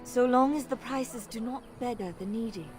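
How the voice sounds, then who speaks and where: Actress for righteous game priestess: high voice, formal, measured, faint music behind. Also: female voice, lightly acted. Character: earnest, righteous priestess defending the poor. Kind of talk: video game acting.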